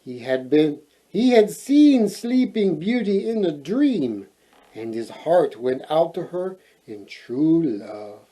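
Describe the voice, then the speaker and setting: A man reading a children's fairy tale aloud in a playful, expressive way, adding joking asides. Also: fairly high pitch, slow pace. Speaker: storyteller, host.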